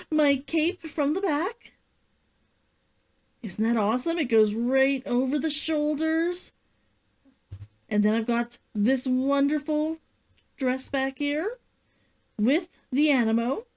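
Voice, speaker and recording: A woman hosting a read-along stream, talking to viewers and reading a story as an excitable vtuber. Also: high-pitched, slow pace, long pauses. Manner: giddy, giggly, gushing and repetitive while chatting.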